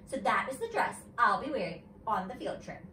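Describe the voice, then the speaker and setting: A woman's voice: on the high side, very animated, a little fast, bright, forceful tone, reverberant, recorded from a distance, slight background noise. A woman voicing a vain, prissy young schoolgirl in a storybook, with an affected, self-satisfied manner.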